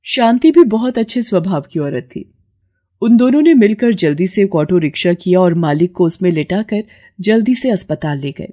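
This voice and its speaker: Mellow woman narrating a story. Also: medium pitch, animated, normal speed. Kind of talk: scripted moral story narration.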